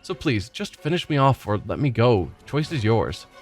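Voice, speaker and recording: A man's voice: medium pitch, very animated, normal speed, soft background music, close to an everyday voice. Man reading as a bitter wounded werewolf. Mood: wry. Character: bitter wounded male werewolf loner, wry.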